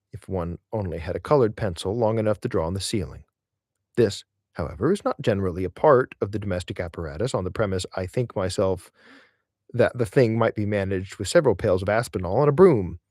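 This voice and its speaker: Male audiobook reader, somewhat deep, very animated intonation, emphatic delivery of literary prose. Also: no room sound, clean studio sound. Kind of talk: expressive scripted reading of literary essays.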